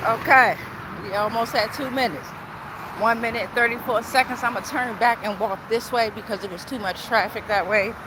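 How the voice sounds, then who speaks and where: A woman's voice: a little high, normal speed. A woman hosting a casual livestream on a phone while out walking, informal, repeating her phrases and checking in with her followers. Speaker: livestream host and spiritual tarot reader. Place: outdoors on a walk near traffic. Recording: outdoor street and traffic noise.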